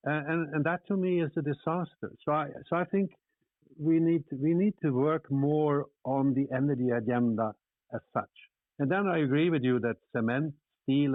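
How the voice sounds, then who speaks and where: A man's voice: medium pitch, no echo. Guy on a video call panel, talks emphatic but stumbles and restarts a lot, tinny phone sound, dead dry. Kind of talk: online panel discussion.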